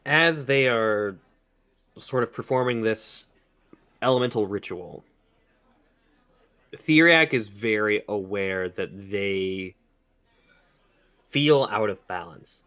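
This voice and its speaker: A man's voice: lowish voice, slow pace, long pauses, pushed and bright. A man describing his character's actions in an improvised tabletop roleplaying game, hesitant and searching for words.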